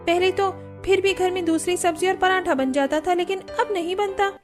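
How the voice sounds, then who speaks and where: A woman's voice: very high-pitched, steady tone, normal speed, music in the background, voice pushed up. A woman voicing an aggrieved young woman in an Indian family cartoon, complaining insistently. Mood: upset, aggrieved. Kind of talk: acted cartoon story dialogue.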